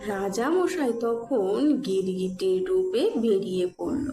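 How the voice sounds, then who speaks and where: A woman's voice: mid-range voice, lively intonation, slow pace. A woman narrating a scripted cartoon story as a storyteller, in an echoey recording with music. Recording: echoey voice under loud music.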